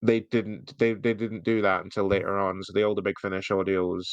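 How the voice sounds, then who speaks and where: A man's voice: low-pitched, level tone, a little fast, clean tone, dry recording, muffled recording. A man co-hosting a podcast, chatting casually as an enthusiast reviewing something. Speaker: podcast co-host. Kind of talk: casual chat, fan review.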